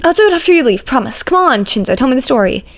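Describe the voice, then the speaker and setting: Woman voicing an eager, excitable young male student. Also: high-pitched, fast talker, pitched above the performer's own voice, exaggerated voice. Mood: whining, pleading. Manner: animated, whiny when pleading. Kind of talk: fan fiction audiobook character voice.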